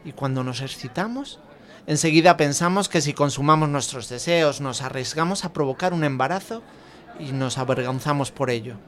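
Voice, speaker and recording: A man's voice: on the high side, normal speed, a bit bright, close to an everyday voice. An actor with an accent from Spain playing a thoughtful, outspoken man who confides intimately in a friend, reflective and hesitant, repeating words. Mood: earnest. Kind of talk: Spanish audio fiction dialogue.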